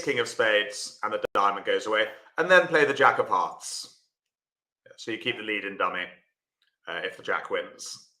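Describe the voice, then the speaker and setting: A man's voice: on the low side, bright, forceful tone, roomy sound. A man teaching a live online lesson, talking through the play and chatting to viewers by name. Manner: chatty, talks to viewers by name while explaining. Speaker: bridge teacher.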